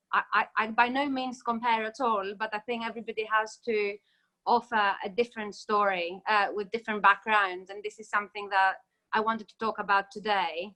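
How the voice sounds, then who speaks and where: A woman's voice: medium pitch, sharp tone, poor audio. A woman in her thirties from Greece, a lawyer on a video-call panel, talking hesitantly with many fillers and restarts. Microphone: laptop webcam mic, video call.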